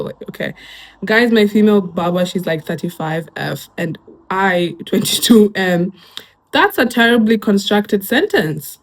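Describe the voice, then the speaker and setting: A young woman with a Kenyan accent, chatting casually as she reacts to online posts and mixing in Swahili phrases. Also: mid-range voice. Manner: chatty, switches into Swahili phrases, lots of fillers.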